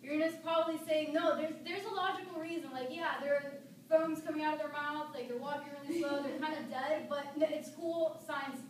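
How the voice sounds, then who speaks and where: High-pitched young woman in a very echoey room. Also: steady tone, a little fast, hardly any pauses. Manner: conversational, colloquial, casually addressing listeners. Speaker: student speaker giving a speech. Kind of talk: informal humorous public speech. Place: echoey room, recorded from a distance. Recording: echoey room, distant mic, noisy background.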